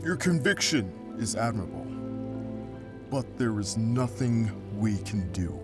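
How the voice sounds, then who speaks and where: A man's voice: medium pitch, animated, measured pace, loud music behind the voice, natural voice. A man playing a noble, courteous ancient ghost guide in an audio drama, speaking in grave, formal, archaic phrases. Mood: firm, resigned. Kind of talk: audio drama character acting.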